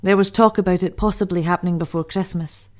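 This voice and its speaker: Female Great War diarist, homesick, chatty, lowish voice; woman acting. Audio drama monologue. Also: steady tone, quick pace, natural voice. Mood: wistful, chatty. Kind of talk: dramatic monologue, diary reading.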